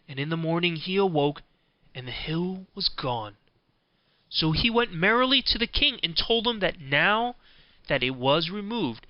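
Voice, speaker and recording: Guy narrating a fairy tale audiobook, smooth voice, very dry but slightly muffled recording. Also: on the high side, dry recording.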